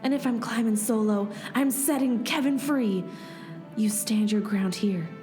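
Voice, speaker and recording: A woman's voice: a little high, measured pace, darkish tone. A woman acting and narrating in a comic radio play, earnest and theatrical. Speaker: podcast host and narrator. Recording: music bed underneath.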